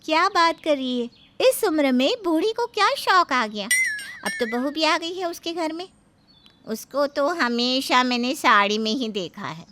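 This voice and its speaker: A woman voicing a gossipy, scandalised neighbourhood woman in a story, with a mocking, drawn-out delivery. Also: high voice, expressive, bright, forceful tone, slight background noise, exaggerated voice. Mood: scandalised gossip.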